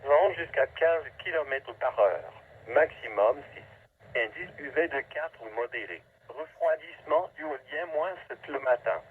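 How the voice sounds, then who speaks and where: A man's voice: mid-range voice, expressive, unhurried. A man reading a scripted weather forecast as a radio announcer, in a clipped, segmented, automated delivery. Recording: tinny phone-like weather radio, static noise.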